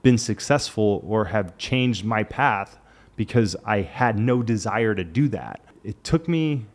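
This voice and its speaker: A man in his late thirties speaks to camera in a frank, reflective way, as if chatting with a friend. His voice sits a little on the low side, and his speech is loose and conversational, full of "like" as he works through his thoughts. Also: expressive, a little slow. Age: 30s. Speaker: vlogger. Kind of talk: candid reflective monologue.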